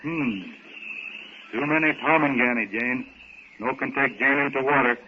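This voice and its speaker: A man's voice: mid-range voice, fairly even intonation, a little slow, close to an everyday voice. An actor playing a stoic jungle-raised wild man in a 1930s radio serial, blunt and commanding in broken English. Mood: wary, terse. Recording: dull, hissy 1930s radio recording.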